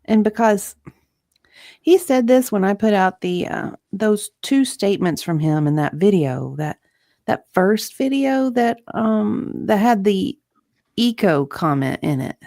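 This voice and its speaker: A woman's voice: mid-range voice, expressive, a little slow, dark tone, no echo, clean studio sound. A woman reading the part of an aggrieved, intimidated journalist giving hesitant testimony as a witness in a deposition. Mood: explaining, uneasy. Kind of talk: dramatic reading of a legal deposition transcript.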